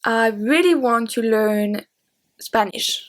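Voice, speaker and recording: A woman's voice: a little high, slow pace. Chatty French-accented woman. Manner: chatty and open, with light laughs. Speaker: French teacher and YouTuber. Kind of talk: casual Q&A talking to camera.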